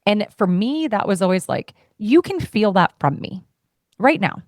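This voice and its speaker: Thirties woman hosting a podcast, passionate and playful, middle pitch, dry close sound. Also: measured pace, no echo. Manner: passionate and expressive, heart on sleeve; playful, puts on voices when quoting her family. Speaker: podcast host. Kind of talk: casual conversation.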